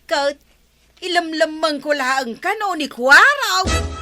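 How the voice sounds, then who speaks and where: A woman's voice: sits high, expressive, slow, over music. A woman playing a gossipy, defiant village woman in a comedy drama, speaking with a Filipino accent. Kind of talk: Ilocano comedy radio-drama acting.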